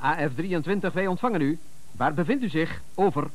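An actor playing a brisk police radio dispatcher in a radio drama, formal, clipped and procedural. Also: male voice, on the high side, expressive, slight background noise, natural voice. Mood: official.

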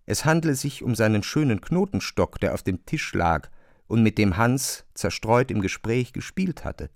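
A man narrating a literary radio play in a measured, expressive storytelling manner. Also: fairly low pitch, dry recording, studio quality.

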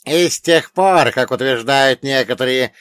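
A man voicing a wise, centuries-old dead grandfather in an audiobook, telling old lore in an emphatic, drawn-out, lecturing way. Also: mid-range voice, fairly bright tone, smooth, no room sound, clean studio sound, voice pushed up, natural voice. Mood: grave, emphatic. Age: older. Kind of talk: audiobook character voice.

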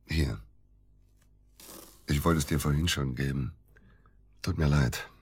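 An actor playing a bitter, agitated middle-aged widower in a naturalistic radio drama, halting and repeating himself for emphasis. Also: male voice, a bass voice, breathy edge, full character voice. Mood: uneasy, confiding.

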